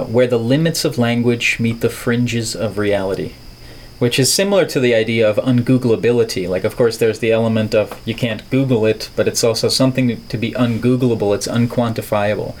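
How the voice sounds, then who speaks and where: A man's voice: medium pitch, fairly quick, darkish tone. A middle-aged man from the Netherlands with a neutral American accent, talking reflectively and playfully as an interview guest, with wordy turns of phrase. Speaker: podcast guest, artist and filmmaker.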